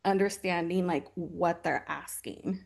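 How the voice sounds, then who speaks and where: A woman's voice: on the low side, a little slow, vocal fry. A woman whose first language is Spanish, speaking hesitantly on a video call with many fillers. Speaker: webinar participant. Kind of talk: casual, hesitant contribution.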